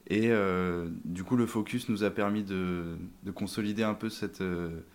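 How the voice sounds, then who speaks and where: A young man speaks in a strikingly deep voice, pausing often and restarting his sentences. He talks casually in an interview about his band and his instrument. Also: fairly even intonation, a little mellow. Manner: hesitant, many fillers and restarts. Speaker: band member, sousaphone player. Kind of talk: interview conversation.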